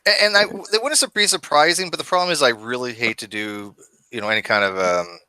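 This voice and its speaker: A man's voice: medium pitch, fairly quick, bright, forceful tone, clean tone, dry recording, low-quality recording. A man in his forties hosting a casual video-call discussion from a dim room at home, talking with many stammered restarts. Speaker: host. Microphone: webcam video call.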